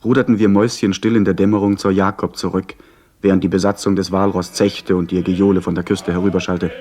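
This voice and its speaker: Earnest, lowish-voiced storyteller. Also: male voice, level tone, quick pace, darkish tone. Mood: measured. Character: earnest male narrator recounting his sea adventure. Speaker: narrator. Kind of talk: scripted radio play narration.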